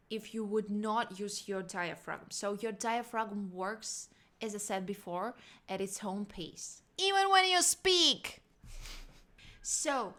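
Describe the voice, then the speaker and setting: A woman's voice: fairly high pitch, fairly bright tone. A young woman vocal coach with a non-native English accent, giving a casual video lesson in a chatty, emphatic way and repeating her key words. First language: not English.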